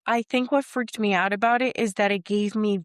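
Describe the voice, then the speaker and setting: A woman's voice: medium pitch, steady tone, brisk, dry recording, clean studio sound. A woman co-hosting a podcast, chatting casually with a rambling, hesitant way of talking and frequent laughs. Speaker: podcast co-host. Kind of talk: casual chat.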